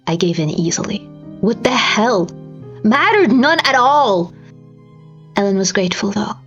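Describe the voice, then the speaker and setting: A woman's voice: medium pitch, sing-song, a bit bright. Woman narrator, grave and theatrical, over music. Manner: grave, theatrical, deliberate phrasing. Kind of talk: dramatic scripted story narration. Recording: slightly dull recording with music behind.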